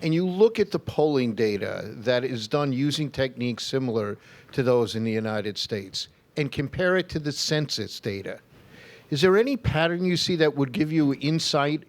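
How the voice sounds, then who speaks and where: A man's voice: mid-range voice, lively intonation, normal speed. Rabbi on a panel, man, mid voice, asking a careful question. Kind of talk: panel discussion.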